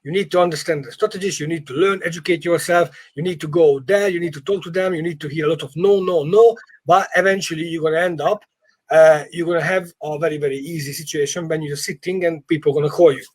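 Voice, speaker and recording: A man with a husky, fairly high voice speaks non-native English as a property coach in a live session. He is emphatic and encouraging, and often repeats his phrases for stress. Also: fairly even intonation, quick pace. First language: not English. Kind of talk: informal live training session.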